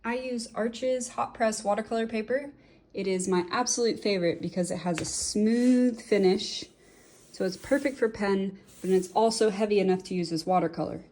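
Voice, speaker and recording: A woman's voice: medium pitch, normal speed, dark tone, slight background noise. A young woman from California with an American accent, an artist giving a friendly, conversational sponsored testimonial with occasional fillers.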